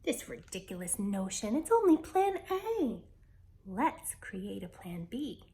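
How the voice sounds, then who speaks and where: A woman's voice: mid-range voice, sing-song, takes it slowly, warm tone. Woman voicing a spirited, defiant storybook girl. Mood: defiant, rousing. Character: spirited, defiant girl rallying her friends.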